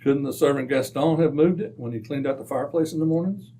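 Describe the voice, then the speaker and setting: A man playing a hearty, genteel gentleman doctor, a detective's companion, in an old-time radio drama. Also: on the high side, fast talker, far from the mic, natural voice. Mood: polite, curious.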